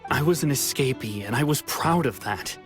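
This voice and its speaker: A man's voice: mid-range voice, animated, normal speed, lightly acted. An actor playing a weary young man back from exile in a video game, talking in an earnest, wistful way with sighs. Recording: game soundtrack music faint behind.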